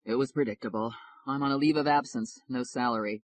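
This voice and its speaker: A woman voicing a modest, earnest young male lawyer, speaking in a quiet, measured way. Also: extremely low pitch, no echo.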